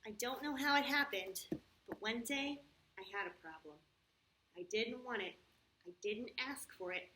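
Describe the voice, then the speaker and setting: A woman with a fairly high voice speaks as a children's storyteller. She uses simple, patient phrasing and puts expression into her words, often checking in with questions as if to very young listeners. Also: moderate pace, background noise. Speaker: children's church story host. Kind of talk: storytime for young children, talking to a puppet and reading aloud.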